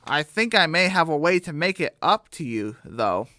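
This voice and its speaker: A woman's voice: low-pitched, sing-song, moderate pace, fairly bright tone, no echo. A woman doing a punchy, scheming cartoon prankster guy. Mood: sly. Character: cocky, scheming male cartoon farm prankster. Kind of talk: children's radio play character voice.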